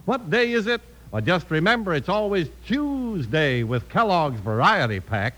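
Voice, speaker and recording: A man's voice: high-pitched, sing-song, moderate pace, noisy background. An American man announcing on a 1950s radio show in an emphatic, polished, salesmanlike style. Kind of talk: 1950s radio announcing, scripted sponsor reads.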